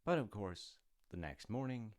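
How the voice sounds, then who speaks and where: A man's voice: fairly low pitch, very animated, measured pace. A man retelling a fairy tale in a casual, rambling way with informal, wry asides. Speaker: storyteller dad character in audio roleplay.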